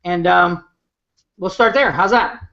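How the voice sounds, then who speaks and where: A man hosting an online hangout in a relaxed, rambling, conversational way over a video call. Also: high voice, measured pace, creaky, dull audio. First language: English. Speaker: host.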